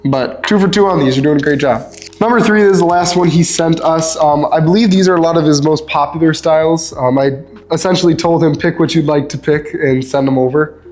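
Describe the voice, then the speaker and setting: A young man with a stuffed-up nose, casually reviewing fishing lures in a relaxed, chatty way with lots of ums. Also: fairly high pitch, fairly quick, soft background music. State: stuffed up, sniffly. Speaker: lure reviewer.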